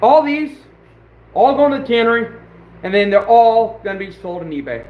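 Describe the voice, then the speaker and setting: A hoarse man who is losing his voice, rambling excitedly and bluntly as he hosts a casual outdoor livestream. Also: very high voice, normal speed. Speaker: trapper and channel host. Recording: very echoey, distant, dull and lo-fi.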